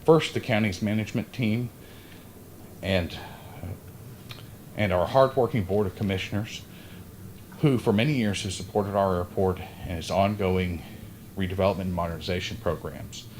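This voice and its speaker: A man's voice: deep voice, normal speed, long pauses, darkish tone, slight background noise. A man who is an airport official reads prepared ceremony remarks at a podium outdoors, working through a list of thanks with occasional slips. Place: outdoor groundbreaking site. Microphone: podium microphone.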